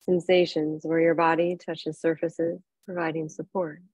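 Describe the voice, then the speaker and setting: A woman leads a guided relaxation as a meditation teacher. Her voice sits somewhat low, and she speaks in an unhurried, soothing way, leaving long pauses between phrases. The sound is very dry, free of room reflections. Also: level tone, a little mellow, breathy edge, dry recording, poor audio. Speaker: yoga nidra meditation teacher.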